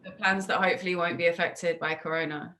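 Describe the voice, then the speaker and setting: A woman's voice: fairly low pitch, steady tone, fast, pushed and bright. A woman with a British accent hosting a casual, encouraging interview over a video call, chatty and informal. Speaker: interviewer and host.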